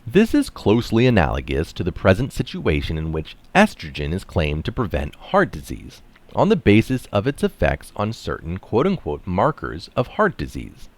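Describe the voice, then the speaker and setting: Middle-aged male article reader: low register, extremely animated intonation, deliberate emphasis on key terms. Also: dry recording. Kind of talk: reading an article aloud with brief casual asides. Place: small room indoors.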